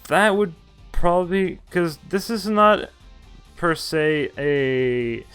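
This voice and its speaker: A man's voice: a little high, slow, a bit bright, clear, resonant tone, faint music behind. A male streamer reading visual novel text aloud, sometimes stumbling and correcting himself. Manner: stumbles over words and self-corrects. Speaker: game streamer.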